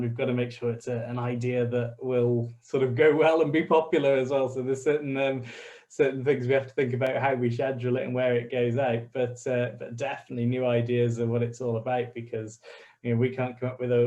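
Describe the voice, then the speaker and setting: A man speaks over a video call, his pitch staying flat and even. He is friendly and a little hesitant, restarting sentences and laughing lightly now and then. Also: fairly quick. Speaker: community radio station representative. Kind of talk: online interview, casual explaining.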